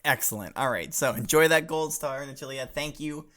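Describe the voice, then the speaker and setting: A man's voice: mid-range voice, moderate pace, pushed and bright. A man hosting a comedic mock lesson as a professor, reading out fan letters with plenty of fillers and laughs. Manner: laughs often, hesitant fillers, reads letters aloud. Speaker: host playing a professor. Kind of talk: comedic improvised class roleplay.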